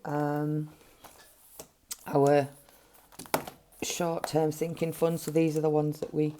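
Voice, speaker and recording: A middle-aged woman with a gruff voice, chatting casually as a budgeting vlogger while counting money at a table at home. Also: low-pitched, dark tone, slight background noise. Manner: chatty, counting notes under her breath. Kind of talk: casual cash-stuffing vlog narration. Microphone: overhead camera framing hands.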